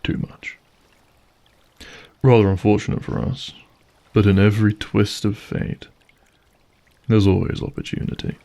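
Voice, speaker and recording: Man playing a slow, gravelly, suave monster villain. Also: lowish voice, flat delivery, dark tone. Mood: silky threat. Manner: theatrical, mock-courteous, deliberate. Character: suave, menacing male monster host, mocking and vengeful. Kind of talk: scripted audio drama acting.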